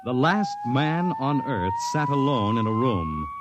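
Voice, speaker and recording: A man's voice: medium pitch, very animated, muffled recording, very noisy. Dramatic old-time radio announcer, man. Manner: measured, dramatic delivery. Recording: old 1950s radio broadcast with music. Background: music.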